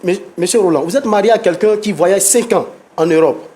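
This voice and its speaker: A man's voice: high-pitched, dark tone, slightly rough. Defensive man with the flu. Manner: defensive, quickly heated, repeats himself. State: has the flu. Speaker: guest on a reconciliation show. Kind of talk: talk show dispute.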